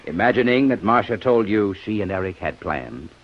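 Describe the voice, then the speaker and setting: Muffled old radio sound carries a low-voiced male police inspector from a 1950s detective serial. He is shrewd and sardonic, probing drily with a very mobile intonation. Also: expressive, moderate pace. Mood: sardonic, accusing. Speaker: radio actor. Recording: muffled old-time radio broadcast recording.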